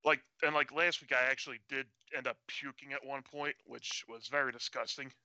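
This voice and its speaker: A man's voice: mid-range voice, fairly even intonation, fairly quick, bright, forceful tone, no room sound. A man of forty-one chatting casually as a co-host, in a hesitant, self-deprecating way. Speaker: show co-host. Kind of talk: casual chat.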